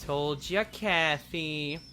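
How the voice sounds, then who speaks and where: A man's voice: fairly high pitch, drawn-out words, sharp tone, soft background music, exaggerated voice. A man playing a teasing, cocky teenage older brother in an audio drama, with a dry, mocking delivery. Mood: matter-of-fact.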